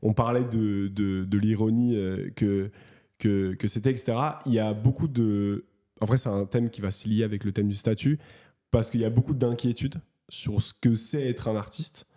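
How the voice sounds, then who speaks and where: A man's voice: on the low side, fairly even intonation, normal speed, mellow. A man hosting a casual interview, talking with many hesitations and restarts. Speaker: host.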